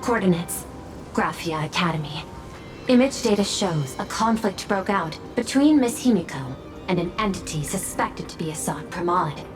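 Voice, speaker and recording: A woman's voice: fairly low pitch, animated, moderate pace, lightly acted. A woman as a precise, emotionless female robot over music. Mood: detached reporting. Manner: clipped, precise, emotionless announcing. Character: formal, logical female robot intelligence reporting data. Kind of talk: scripted game voice acting. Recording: background music under the voice.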